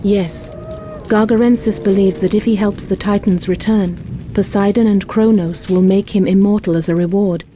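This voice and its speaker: A woman as a solemn, mellow-voiced goddess. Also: mid-range voice, steady tone, a little hiss or hum, natural voice. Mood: grave, warning. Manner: measured, portentous storytelling. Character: solemn, wise goddess guiding a mortal hero. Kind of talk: scripted dramatic narration of myth. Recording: music behind the voice.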